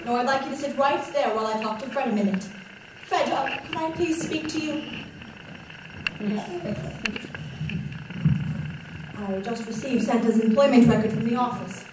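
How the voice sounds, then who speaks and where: Girl acting a high-voiced, brisk woman manager, echoing hall. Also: close to an everyday voice. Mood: firm. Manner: polite, firm, formal. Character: brisk, businesslike 1940s department store manager, adult woman. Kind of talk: stage play as 1940s radio drama. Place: stage in a large echoing hall. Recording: echoey hall from far off, faint noise.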